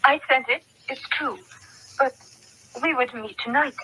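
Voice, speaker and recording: An actress playing a proud, grieving young fiancée, earnest and dramatic, in an old-time radio drama. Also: female voice, high voice, normal speed, bright, forceful tone, breathy edge, full character voice. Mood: earnest, resolute. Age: young adult. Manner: earnest, dramatic, well-spoken. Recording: thin, noisy vintage radio recording.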